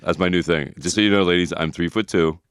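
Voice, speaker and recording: A man with a deep voice co-hosting a casual comedy podcast, giving blunt, emphatic advice and cracking jokes. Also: clean tone, no echo. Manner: blunt, emphatic, quick to repeat and joke. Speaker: podcast co-host.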